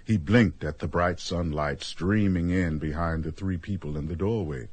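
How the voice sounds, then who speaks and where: A man narrating a radio drama as a measured storyteller, reading scripted prose. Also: deep voice, a little mellow, no echo. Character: measured storyteller of a strange tale.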